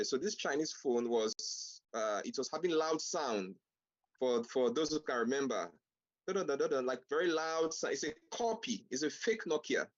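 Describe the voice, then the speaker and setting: A man with a mid-pitched voice talks in a halting, stop-start way, filling pauses with "uh" and "okay." The audio is dull and lo-fi, like an online call. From Nigeria. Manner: hesitant, many fillers and restarts, says "okay" often. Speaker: discussion panelist. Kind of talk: casual panel discussion.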